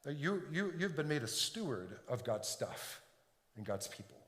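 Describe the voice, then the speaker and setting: A man's voice: medium pitch, very animated, moderate pace, fairly bright tone. A middle-aged man from Detroit preaching a sermon in a church, earnest, often repeating words as he builds a point. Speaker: pastor. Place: church sanctuary. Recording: echoey hall with long reverb.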